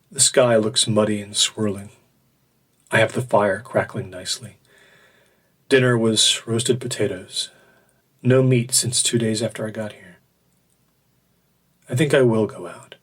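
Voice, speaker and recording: A man's voice: on the low side, steady tone, a little slow, pauses often, breathy edge. An actor playing a solitary, uneasy man in a remote shack, speaking terse, contemplative journal entries in an audio drama. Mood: quiet, uneasy.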